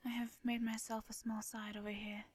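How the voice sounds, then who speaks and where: A woman playing a shy, formal vampire in a roleplay audio drama, with an English accent, a soft breathy voice and hesitant, flustered phrasing. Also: on the high side, level tone, brisk. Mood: hushed, nervous.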